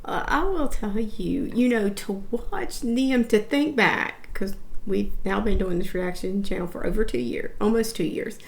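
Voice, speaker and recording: A woman's voice: medium pitch, a little slow, vocal fry, few pauses. A woman co-hosting a reaction channel, chatting casually and stressing her points firmly.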